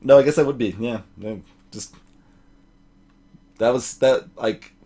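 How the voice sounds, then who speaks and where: A man's voice: mid-range voice, unhurried. A man hosting a video game let's play, mixing acted dialogue with joking, digressive asides. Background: soft game music.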